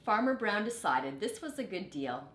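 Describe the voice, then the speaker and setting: A woman's voice: medium pitch, big pitch swings, moderate pace, a bit bright. A woman teacher narrating a storybook to children in an explanatory, questioning manner. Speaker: teacher reading aloud. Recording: roomy echo, background noise.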